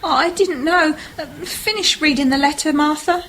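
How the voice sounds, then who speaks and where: A woman playing a gently teasing married heroine of a mystery in an amateur radio play. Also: high-pitched, normal speed, a little hiss or hum, rough recording. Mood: anxious. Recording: amateur community radio recording.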